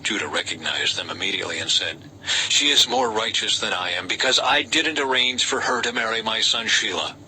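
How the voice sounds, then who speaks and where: A man reads a Bible story as scripted narration, in a measured storytelling way with lightly dramatized dialogue. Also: on the low side, expressive, sharp tone, poor audio. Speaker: audiobook-style scripture reader. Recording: faint background noise.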